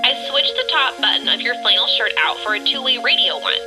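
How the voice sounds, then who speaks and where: A woman's voice: on the high side, lively intonation, fast, over music, close to an everyday voice, low-quality recording. A woman playing a bossy, worried young teammate in an audio drama, matter-of-fact and exasperated.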